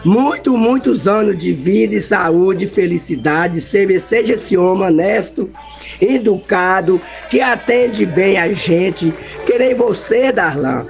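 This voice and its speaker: A woman caller, hoarse from a cold, effusively sending birthday blessings to a radio host. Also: mid-range voice, animated, takes it slowly, a little mellow, soft background music. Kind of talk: phone call to radio.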